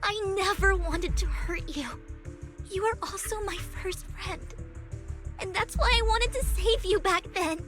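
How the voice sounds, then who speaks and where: An earnest, very high-voiced gentle girl. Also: unhurried, faint music behind. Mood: pleading, hopeful. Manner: earnest, soft-hearted, pleading. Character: gentle, earnest girl pleading with an old friend. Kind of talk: anime-style video game voice acting.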